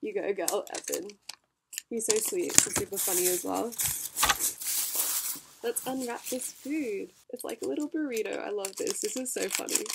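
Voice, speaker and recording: A woman's voice: mid-range voice, normal speed, creaky, very noisy. A young woman vlogger, congested from a cold, chatting bubbly and casually while unboxing packages. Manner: chatty, bubbly, lots of fillers and likes.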